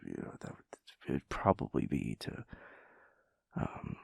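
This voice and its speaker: Groggy, gravelly boyfriend, acted by a man. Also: rumbling low, very animated, measured pace, no echo, studio quality, full character voice. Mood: rambling, mock-serious. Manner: groggy, stammering, hesitant, mock-serious. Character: sleepy, playful, chaotic boyfriend refusing to get up. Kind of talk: intimate audio roleplay, improvised rambling.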